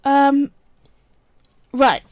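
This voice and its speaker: A woman's voice: sits high, darkish tone, dry recording. A woman casually talking viewers through a polymer clay sculpting project on a live stream, chatty and explanatory. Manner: chatty and explanatory, often pausing to say "right" and "so".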